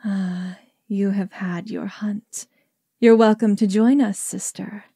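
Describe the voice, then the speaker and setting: A woman playing a sly, sweetly scheming alien who passes as human, measured and falsely reassuring, in an amateur audio drama. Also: medium pitch, steady tone, creaky, mellow, slightly rough, heavily acted.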